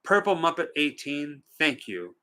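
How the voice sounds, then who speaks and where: A man's voice: fairly high pitch, big pitch swings, measured pace, bright, forceful tone. A man co-hosting a live stream, chatting casually and reading out viewers' comments with a joking, teasing manner.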